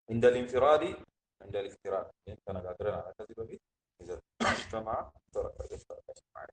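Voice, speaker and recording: A man teaching an Islamic creed class. He reads short Arabic phrases from a text, explains them slowly, and checks on his listeners. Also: mid-range voice, slight background noise, poor audio. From Ethiopia. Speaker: religious teacher.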